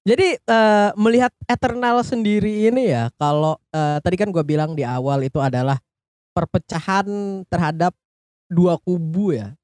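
A man's voice: high-pitched, moderate pace, a little mellow, no room sound. A man with a colloquial Jakarta Indonesian accent hosts a casual film discussion in joking, informal slang. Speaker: podcast host.

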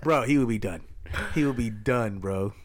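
A man chatting casually on a podcast, animated and slang-heavy. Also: medium pitch, moderate pace. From Los Angeles area. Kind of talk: casual chat.

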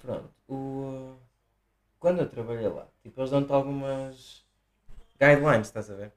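A man's voice: mid-range voice, moderate pace. A young man with a central Portuguese accent, chatting casually as a podcast co-host, telling stories loosely and swearing freely. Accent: central Portuguese, Viseu. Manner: loose storytelling, acts out quoted people, swears freely. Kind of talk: casual chat.

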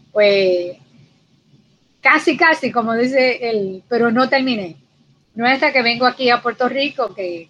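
A middle-aged woman from the Dominican Republic with a Dominican accent, telling her life story as an interview guest and pausing on many fillers and repeated words. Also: fairly high pitch, fairly bright tone. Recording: dull lo-fi sound with faint noise.

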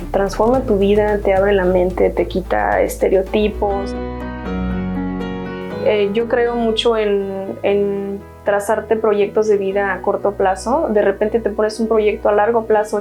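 A woman's voice: mid-range voice, warm tone. A young woman from Hidalgo with a Mexican accent, telling her own story in a chatty, earnest way with many restarts. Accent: Mexican Spanish. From Mexico, Hidalgo state. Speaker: university graduate interviewee. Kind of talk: personal testimony.

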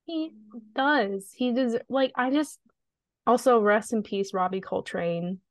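A woman's voice: a little high, slow, dry recording, clean studio sound. A woman co-hosting a podcast in casual, informal chat, a bit sniffly. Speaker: podcast co-host.

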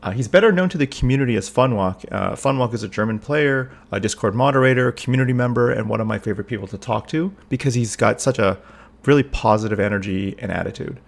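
A man's voice: mid-range voice, fast, vocal fry. A man hosting a podcast and chatting casually, talking loosely with lots of "like" and "um" fillers. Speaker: podcast host. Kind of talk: casual chat.

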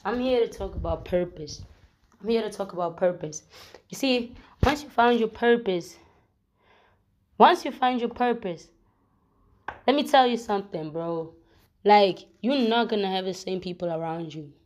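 A woman with a flu-roughened voice, talking casually to her viewers in a rambling vlog, full of slang and repeated fillers. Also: mid-range voice, moderate pace, long pauses, a little mellow. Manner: slangy, repeats fillers like "you know what I'm saying". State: hoarse from flu. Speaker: vlogger.

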